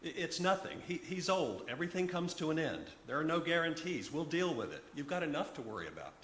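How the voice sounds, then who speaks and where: A man's voice: fairly high pitch, sing-song, fairly quick, fairly bright tone, voice pushed up. A man voicing an anxious, flustered woman who stammers and backtracks hesitantly as a character in a told story. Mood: anxious, evasive. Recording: roomy echo, clear background noise.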